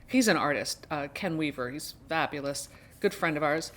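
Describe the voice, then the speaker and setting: A middle-aged woman speaks in a voice that sits a little low for a woman. She talks casually to her viewers as the host of a book vlog. Her delivery is chatty and rambling, with emphatic stresses on the words she cares about. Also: lively intonation, moderate pace, a bit bright. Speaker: book reviewer and video host.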